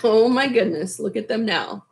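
A middle-aged woman reading a picture book aloud expressively, in an unhurried way, with casual asides to young listeners. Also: on the high side, expressive, vocal fry, slight background noise. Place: small furnished room at home, indoors. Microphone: webcam at desk distance.

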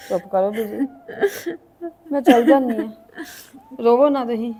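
A woman's voice: fairly high pitch, a little slow, warm tone, natural voice. A woman playing a deceived, remorseful young mother in a family drama, earnest and apologetic. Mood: resolved, consoling.

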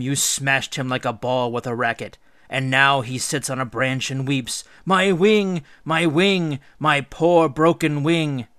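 A man's voice: mid-range voice, fairly bright tone, smooth, dry recording, clean studio sound, lightly acted. A man voicing a bold, scornful hero king in a storybook myth, taunting with sardonic questions.

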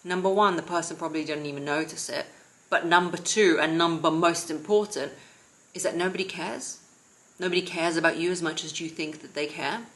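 A woman in her thirties gives relaxed advice from a sofa at home, her voice low and settled. She holds a calm, steady, near-monotone delivery, talking to a camera set a little way off. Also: a little fast, low-quality recording. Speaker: vlogger and confidence coach. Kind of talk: casual advice vlog to camera. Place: living room, seated on a sofa.

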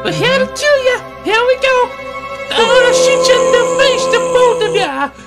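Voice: extremely high pitch, very animated, normal speed, heavily acted. A woman voicing a bossy, exasperated leader of a cartoon fighting team, pleading and exclaiming, in an amateur comedy dub. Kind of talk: amateur comedy fan dub of an animated short. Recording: loud music behind voice.

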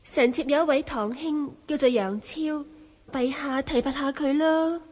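An actress playing a pampered young imperial consort in a historical radio drama, sweet and coquettish in manner. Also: female voice, sits high, measured pace, darkish tone. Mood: coaxing.

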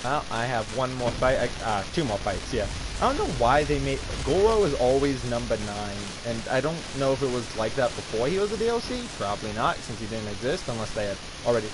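Man, game streamer, mid-pitched voice, rambling casual commentary, opinionated, clearly noisy background. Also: sing-song, fairly quick. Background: video game ambience.

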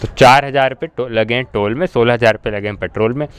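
A man's voice: medium pitch, quick pace, smooth. A man talking casually as a car vlogger, informally mixing in English words. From India. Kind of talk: casual road-trip vlog talk.

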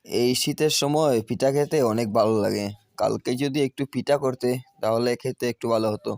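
A man's voice: mid-range voice, a little fast, gravelly, darkish tone, no room sound, studio quality, lightly acted. A man voicing a grumbling, demanding village cowherd husband in a cartoon. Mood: stubborn. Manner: complaining, demanding. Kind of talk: cartoon voice acting.

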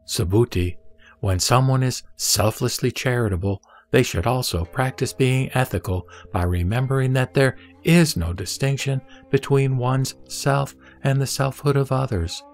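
A man playing the Buddha as a serene teacher, speaking in a measured, solemn way in an audio drama of scripture. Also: medium pitch, natural voice. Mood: calm, instructive. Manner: measured, solemn, didactic delivery. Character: the Buddha, serene male teacher instructing a disciple. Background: background music.

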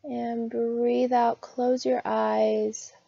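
A woman leads a guided meditation in a fairly high, mellow voice. She reads slowly and gently, with a soothing, unhurried delivery. The recording is dry and slightly dull. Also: flat delivery, gravelly, no room sound.

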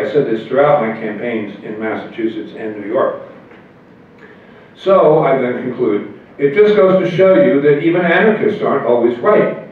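An older man, a politician guest, telling wry anecdotes in a relaxed conversational way at a convention. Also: medium pitch. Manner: wry and anecdotal, chuckles at his own jokes. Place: hotel meeting room at a convention. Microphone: table mic on a stand, heard from far. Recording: echoey room, far, phone-like thin sound.

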